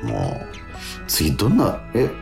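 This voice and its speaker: A man's voice: rumbling low, huge pitch swings, slow, creaky, music in the background. A man co-hosting a casual radio chat, thinking aloud in a hesitant, repetitive way. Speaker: radio co-host, actor.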